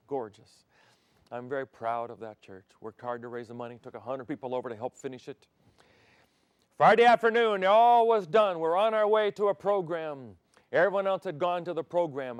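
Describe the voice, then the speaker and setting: An older man raised in Thailand, a pastor delivering a televised sermon from a studio set on a lapel mic, swinging between loud emphasis and soft, intimate passages. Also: medium pitch, no echo.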